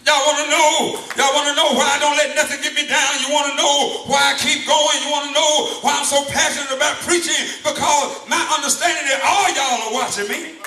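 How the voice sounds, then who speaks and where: A man preaching a call-and-response sermon, shouting in rhythm and repeating his phrases to the congregation. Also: extremely high pitch, fairly even intonation, fairly quick, faint music behind. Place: large church hall. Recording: echoey hall, far mic, congregation behind.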